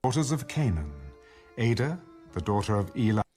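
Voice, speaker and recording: A man giving a formal, measured scripted narration of scripture, as in an audio Bible. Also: lowish voice, big pitch swings, darkish tone. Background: background music.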